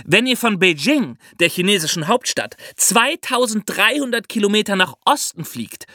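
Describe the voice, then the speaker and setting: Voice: mid-range voice, lively intonation, normal speed, sharp tone, no room sound, clean studio sound. A podcast guest explaining things to young listeners with vivid comparisons and questions. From China.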